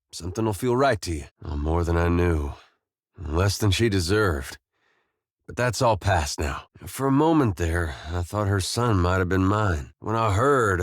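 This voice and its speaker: An actor playing an easygoing, wry, war-weary farmer turned adventurer in a video game, talking in a folksy, understated way with short, plain phrases. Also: male voice, sits low, dry recording, studio quality. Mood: earnest, subdued. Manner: folksy, laid-back, understated, drawling short clipped phrases. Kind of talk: video game companion dialogue.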